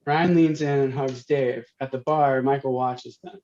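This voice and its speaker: A man's voice: mid-range voice, steady tone, unhurried, a little mellow. A man reading scripted stage directions as narration at a table read. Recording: muffled, dull video-stream audio.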